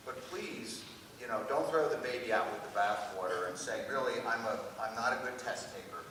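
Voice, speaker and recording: A man's voice: mid-range voice, brisk, pushed and bright. An American man on a live admissions panel, giving direct, plain-spoken advice to students. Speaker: college admission officer on a panel. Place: auditorium at a public school event. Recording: echoing hall, distant mic, faint noise.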